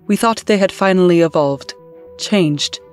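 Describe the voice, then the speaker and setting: A woman voicing a regal, scheming alien empress with a formal, measured manner, in an audio drama. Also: lowish voice, faint music behind, natural voice.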